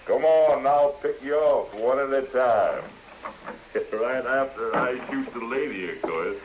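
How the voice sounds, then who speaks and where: A man's voice: medium pitch, a little slow, natural voice. A man playing a cocky, menacing outlaw with taunting frontier talk in a 1950s western radio drama. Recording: dull, hissy old radio recording.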